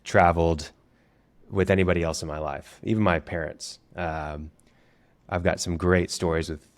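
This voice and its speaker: A man's voice: low-pitched, fairly even intonation, moderate pace. A man co-hosting a podcast, telling rambling anecdotes casually with laughs and fillers. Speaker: podcast co-host.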